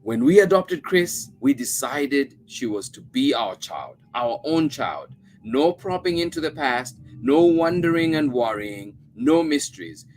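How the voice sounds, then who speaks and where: A man's voice: medium pitch, big pitch swings, measured pace, slight background noise, pitched above the performer's own voice, low-quality recording. A man voicing a practical, teasing husband in a storybook reading. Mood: firm. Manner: hearty, firm.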